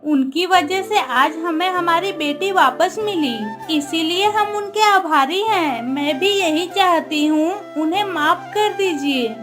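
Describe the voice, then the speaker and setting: A woman voicing a gentle, dignified, motherly queen in a cartoon, speaking formally. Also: extremely high pitch, slow, few pauses. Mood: forgiving. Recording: music under the voice.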